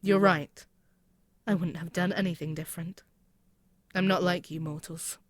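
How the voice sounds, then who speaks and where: A woman voicing a proud, mocking demon queen in an intimate ASMR roleplay, speaking in a haughty, deliberate, musing way. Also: lowish voice, fairly even intonation, natural voice. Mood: wistful, brooding. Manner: haughty, deliberate, musing, with pauses and murmured asides. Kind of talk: scripted ASMR audio roleplay, spoken intimately to the listener.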